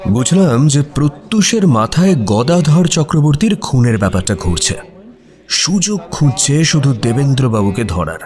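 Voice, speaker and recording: A man acting as a detective's curious writer friend who tells the story himself. His mid-range voice moves with lively intonation in an expressive radio-drama style. Soft music runs behind the narration. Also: mid-range voice, normal speed, natural voice. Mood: descriptive narration.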